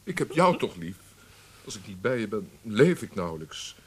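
Deep-voiced, wildly impassioned young lover. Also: male voice, big pitch swings, moderate pace, breathy edge. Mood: hurt, pleading. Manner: impassioned, pleading, theatrical. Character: lovesick young male portrait painter, passionate and pleading. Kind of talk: period radio drama acting. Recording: hissy old radio drama recording.

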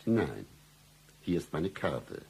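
An actor playing a broke, seedy private detective in a satirical radio play, with florid flirtatious patter and deadpan humour. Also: male voice, a bass voice, slow, vocal fry, slightly rough, heavily acted, poor audio. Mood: deadpan, wry.

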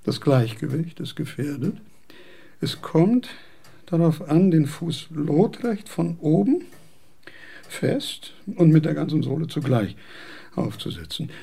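A frail, gravelly old philosopher. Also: male voice, on the high side, very animated, takes it slowly, dark tone, exaggerated voice. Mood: pedantic. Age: older. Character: frail aged male philosopher, fussy and wandering. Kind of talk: radio play acting.

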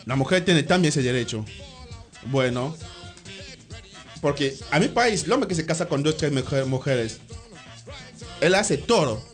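A man from Cameroon, a radio interview guest who talks in halting restarts and keeps checking that he is understood. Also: on the high side, expressive, faint music behind.

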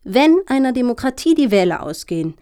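A woman's voice: on the high side, very animated, dark tone. Earnest, officious female lobbyist played by an actress. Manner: formal, deliberate, speech-like. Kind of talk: satirical radio play acting.

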